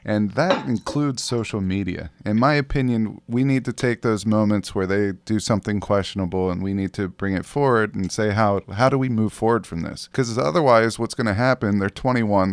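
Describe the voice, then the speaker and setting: A man hosting a podcast with a slow, low way of talking, emphatic about his points. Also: no echo. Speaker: podcast host. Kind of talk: casual podcast discussion.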